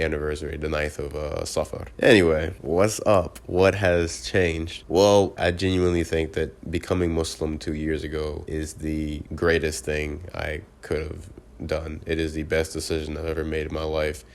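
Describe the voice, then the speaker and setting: Congested man, extremely deep and fairly monotone, earnest solo vlogger who restarts words. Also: moderate pace. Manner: earnest, often restarts words mid-syllable. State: congested from a cold. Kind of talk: casual personal video reflection.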